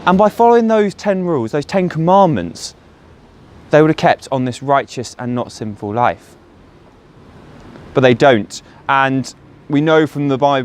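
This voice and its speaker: A man giving a short devotional talk as a church speaker, explaining earnestly and posing rhetorical questions. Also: on the high side. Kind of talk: short sermon talk to camera or listeners.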